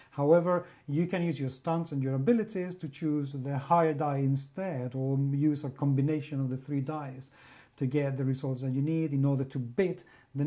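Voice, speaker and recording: A man's voice: fairly high pitch, warm tone. A man from Spain with a Spanish accent, reviewing a favorite role-playing game in an effusive, enthusiastic monologue full of superlatives. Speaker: guest reviewer, magazine editor.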